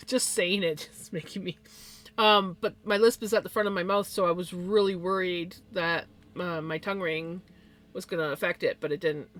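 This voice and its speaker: Streamer, woman, bright tone, slight lisp, rambly casual chat full of ums and quick laughs. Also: lowish voice, fairly even intonation. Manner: rambling, with many fillers and small laughs. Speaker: live streamer.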